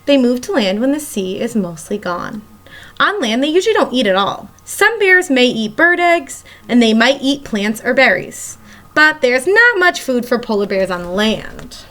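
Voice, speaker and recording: Female elementary teacher, higher-pitched voice, read-aloud for young kids, explains simply and addresses listeners directly. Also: a bit bright. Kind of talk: read-aloud of a children's nonfiction book.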